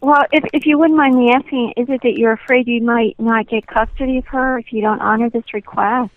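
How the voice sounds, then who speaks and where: Over a thin, muffled phone line, a woman with a fairly high voice talks in a conversational way. She is polite and hesitant, with a puzzled, careful way of putting things. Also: steady tone, fairly quick, no echo. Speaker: nanny receiving a prank call. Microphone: telephone.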